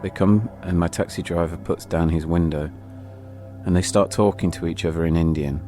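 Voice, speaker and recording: A man narrating a dramatic first-person travel story in measured, suspenseful short phrases, as a storytelling podcast host. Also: low voice, fairly even intonation, mellow, soft background music.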